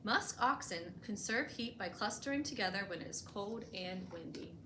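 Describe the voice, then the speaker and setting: An echoey room with clear background noise surrounds a woman hosting storytime. She has a medium-pitched voice and reads expressively for young listeners, leaning on key words. She moves between reading and simple explanations. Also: lively intonation, moderate pace. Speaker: librarian hosting a bedtime storytime. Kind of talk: picture-book read-aloud with explanatory asides for children.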